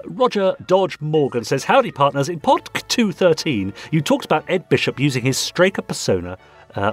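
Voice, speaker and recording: Animated, husky man on a podcast. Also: mid-range voice. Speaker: podcast co-host. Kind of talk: casual podcast chat, reading listener emails.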